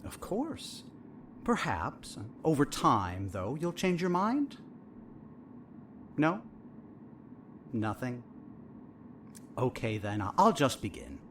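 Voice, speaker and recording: A man acting in an audio drama as a condescending, smoothly menacing doctor, measured and falsely polite. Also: mid-range voice, big pitch swings, fairly bright tone, a little hiss or hum. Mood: falsely pleased.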